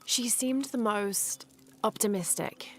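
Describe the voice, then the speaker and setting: A woman's voice: medium pitch, slow, slight background noise, natural voice. A woman playing a thoughtful, courteous young adventurer in a video game cutscene, reserved and sincere. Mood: reflective. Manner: reserved, sincere, brief answers. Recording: music under the voice.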